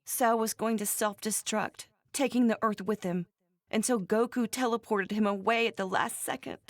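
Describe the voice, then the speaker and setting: A woman voicing a doting, fretful and strict mother in an animated video game, fussy and emphatic. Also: mid-range voice, normal speed, no room sound, clean studio sound, natural voice. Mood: earnest. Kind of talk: animated game character dialogue.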